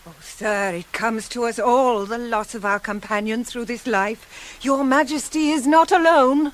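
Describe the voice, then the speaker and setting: A woman's voice: a little high, close to an everyday voice. An actress playing a grave attendant who laments for her king in a classical tragedy on the radio. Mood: mournful. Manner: grave, measured, deliberate. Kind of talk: 1980s radio play, classical tragic verse acting.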